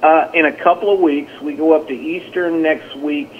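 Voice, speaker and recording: A man's voice: on the high side, fairly even intonation, moderate pace, a little hiss or hum. Folksy man on a tinny phone line. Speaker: high school track and field coach. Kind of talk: casual phone interview. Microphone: telephone.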